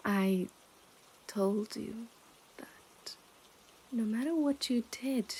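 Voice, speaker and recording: A woman's voice: on the high side, darkish tone, breathy edge, exaggerated voice, low-quality recording. A woman playing a possessive, smug yandere kidnapper in an intimate ASMR roleplay, teasing and condescending as she often dips into whispers.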